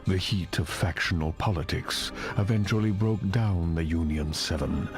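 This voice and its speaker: Male actor as genial elder politician, low voice, measured and formal, game cutscene with music behind. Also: close to an everyday voice. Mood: grave, reflective. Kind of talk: video game cutscene acting. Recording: music under the voice.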